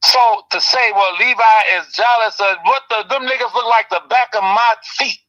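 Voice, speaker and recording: A man hosting a heated call-in talk show, ranting with passion and swinging between loud outbursts and low, pressing remarks. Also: moderate pace, highs cut off. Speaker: commentary show host.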